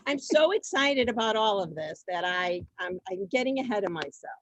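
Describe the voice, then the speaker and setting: A woman hosting an amateur theater event informally, halting and often repeating her words as she goes. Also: medium pitch, expressive, no room sound, low-quality recording. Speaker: host introducing performers.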